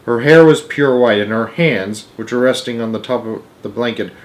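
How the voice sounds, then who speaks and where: Male storyteller, medium pitch, expressive amateur read-aloud, occasional stumbles and self-corrections. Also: moderate pace, poor audio. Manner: expressive, stumbles over words and corrects himself. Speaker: storyteller reading a children's book aloud.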